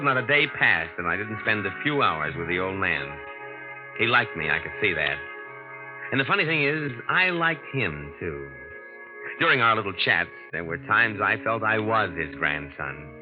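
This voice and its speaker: A dulled vintage radio drama recording with music behind it. A cool, scheming impostor played by a male actor talks in a low voice, casual and slangy like a hard-boiled first-person narrator. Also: lightly acted. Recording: muffled old radio recording.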